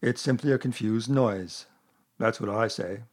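A man's voice: on the low side, unhurried. A man voicing a mournful, put-upon, grumbling male donkey in a children's storybook. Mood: aggrieved. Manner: mournful, aggrieved.

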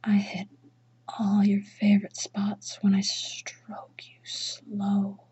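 A woman performing a dominant mistress roleplay in slow, teasing whispers, with a breathy voice and a commanding manner. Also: medium pitch, monotone, darkish tone. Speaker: erotic audio roleplay performer.

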